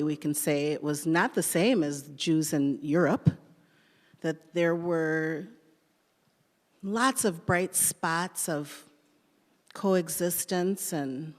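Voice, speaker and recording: A woman on a panel answers directly and firmly, brushing a hypothetical aside. Her voice sits somewhat low and rings out in a long-reverb lecture hall. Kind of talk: panel discussion.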